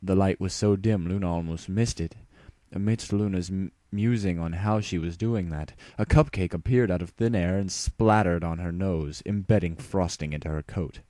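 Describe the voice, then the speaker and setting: A man with a deep voice narrates a story in a dramatic audiobook style. The recording is dry, with very little room sound around the voice. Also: moderate pace, hardly any pauses, dark tone, no room sound.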